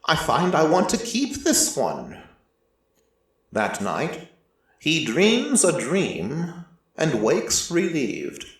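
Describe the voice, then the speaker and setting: Ancient merciless god played by a man, solemn storyteller, unhurried pace, expressive pitch swings, first-person dramatic narration. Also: exaggerated voice. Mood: grave, reflective. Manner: measured, solemn storytelling with deliberate pauses. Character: ancient merciless deity, male-voiced, reflective and quietly fond. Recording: echoey room, slightly distant from mic.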